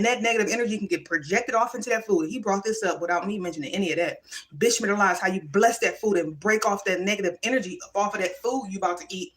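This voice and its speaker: Emphatic woman musing on a livestream. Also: medium pitch. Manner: animated, emphatic, drifts between musing and preachy. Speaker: livestream vlogger. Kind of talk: casual spiritual livestream monologue. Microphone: phone or webcam livestream.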